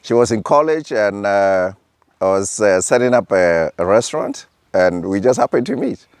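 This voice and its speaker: Affable older chef, low voice. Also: male voice, sing-song, normal speed, smooth, no echo. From Ghana. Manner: affable, says yes a lot. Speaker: executive chef and restaurant owner. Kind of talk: casual interview.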